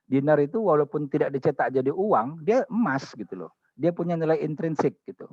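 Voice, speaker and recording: A man's voice: a little high, lively intonation, a little fast, darkish tone, slightly rough, no echo, lo-fi sound. A man with an Indonesian accent giving an explanatory lecture as a guest speaker, in a didactic way, often punctuating his points with short check-in tags. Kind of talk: explanatory lecture on religious law.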